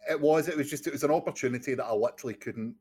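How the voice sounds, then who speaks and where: A man appearing as a podcast guest, with a voice at middle pitch for a man. He speaks in a measured, reflective way, pausing on fillers, and he is currently unwell. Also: darkish tone, husky. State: ill, lost taste and smell. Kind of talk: casual podcast chat.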